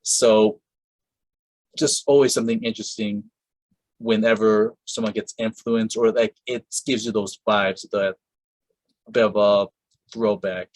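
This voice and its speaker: A man hosts a casual interview, his voice low and his delivery unhurried. He rambles, restarts his sentences and leans on fillers. The mic is close and dry, with no room sound. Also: fairly even intonation, no room sound, rough recording. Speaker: podcast host interviewing a voice actress.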